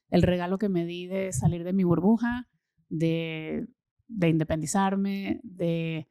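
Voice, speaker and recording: A Venezuelan woman in her thirties, talking enthusiastically as an interview guest and often pausing with fillers and repeated words. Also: on the low side, dark tone, dry recording. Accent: Venezuelan Spanish. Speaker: guest, social impact consultant. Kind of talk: casual interview conversation.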